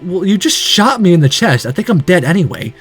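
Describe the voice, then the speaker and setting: Visual novel boy hero, male reader: high voice, defiant, cocky. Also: very animated, fairly quick, breathy edge, soft background music, full character voice. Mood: cocky, determined.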